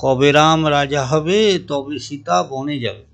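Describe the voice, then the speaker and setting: Older cartoon moneylender, fairly high male voice, sanctimonious, sugary, ruthless, faint music behind. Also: fairly even intonation, measured pace, fairly bright tone. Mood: chiding. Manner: unctuous, pious, chanting devotional phrases. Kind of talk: Bengali cartoon voice acting.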